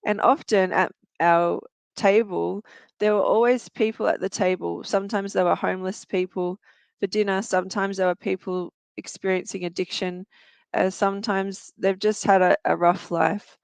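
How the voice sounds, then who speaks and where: A woman's voice: medium pitch, steady tone, normal speed, creaky, smooth, no room sound. A woman in her forties with an Australian accent, telling her personal story in an earnest, reflective way with occasional fillers. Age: 40s. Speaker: guest, youth worker and researcher. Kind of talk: personal storytelling in a talk show.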